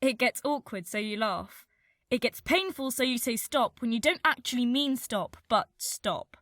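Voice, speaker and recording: Anxious young woman, self-doubting, frank, urgent, bright, fairly high, radio drama. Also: lively intonation, normal speed, no room sound, clean studio sound. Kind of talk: radio play monologue.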